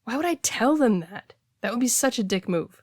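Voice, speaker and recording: A woman playing a casual, blunt heartbreaker friend in an intimate audio roleplay, talking conversationally with hesitant, expressive delivery. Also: fairly high pitch, moderate pace, no echo, studio quality. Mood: matter-of-fact. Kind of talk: intimate one-sided audio roleplay, naturalistic dialogue.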